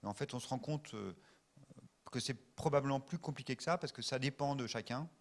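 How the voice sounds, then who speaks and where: A man's voice: mid-range voice, fast talker. A man answering an audience question, thinking aloud and explaining step by step. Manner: thoughtful, hedging, explaining step by step.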